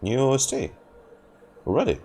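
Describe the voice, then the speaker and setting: A man's voice: medium pitch, sing-song, moderate pace, warm tone. A man streaming a game, reacting casually and musing aloud to himself, with faint game music behind him. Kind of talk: casual live playthrough reaction.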